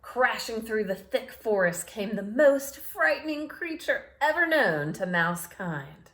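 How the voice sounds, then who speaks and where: A woman's voice: high-pitched, a bit bright. A woman reading a children's story aloud dramatically, with chatty asides to her young listeners. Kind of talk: animated read-aloud storytelling.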